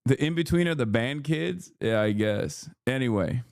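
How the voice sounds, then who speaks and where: Male streamer, 30s, mid-pitched, bantering with chat, swears a lot, dry close sound. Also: lively intonation, moderate pace, gravelly, no room sound. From Turkey. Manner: loud, joking, slangy, swears freely. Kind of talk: casual livestream chat.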